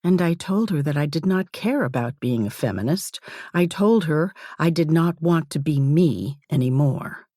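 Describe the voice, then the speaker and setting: A woman reading a first-person novel in a measured, intimate, reflective manner, as in an audiobook. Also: low voice, expressive, warm tone, no echo, studio quality.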